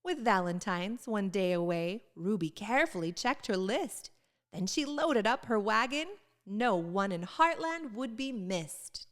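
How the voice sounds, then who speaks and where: A woman reading a children's storybook aloud with expressive, sing-song emphasis. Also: mid-range voice, a little slow. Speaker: children's book reader.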